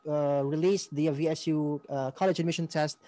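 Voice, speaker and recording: High-pitched Filipino man, halting and casual. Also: steady tone, mellow, no echo. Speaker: co-host of a school-on-the-air programme, local artist. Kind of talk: casual announcements to participants.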